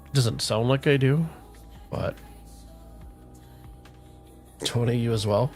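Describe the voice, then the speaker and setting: A middle-aged man chatting casually with viewers on a game livestream, relaxed and rambling, with a soothing voice. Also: mid-range voice, slow pace. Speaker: streamer. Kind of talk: casual livestream chat while gaming. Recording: faint music playing underneath.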